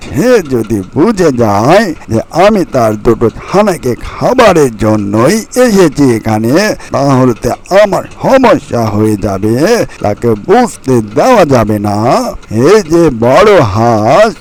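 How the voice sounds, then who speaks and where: A man's voice: medium pitch, sing-song, measured pace, a little mellow. A man voicing a greedy, sly, hungry fox who schemes aloud in a fairy-tale cartoon. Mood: worried scheming, then feigning innocence.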